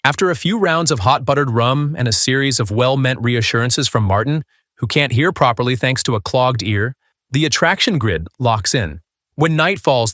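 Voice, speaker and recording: A man's voice: mid-range voice, fairly bright tone, no room sound. A man hosting a video countdown, reading a scripted narration.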